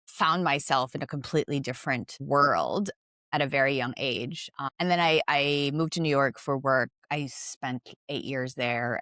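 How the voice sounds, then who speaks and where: A woman's voice: extremely low pitch, fairly bright tone, no room sound. A woman in her thirties from the Philippines, a guest in a casual interview, chatting with many fillers and laughing easily. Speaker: guest, investment director.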